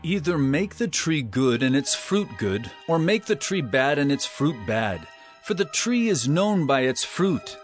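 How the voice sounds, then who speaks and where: A man's voice: on the high side, very animated, unhurried, soft background music. An actor playing a stern, earnest male teacher with authority, declaiming emphatically in a dramatized scripture production. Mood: firm, reasoning.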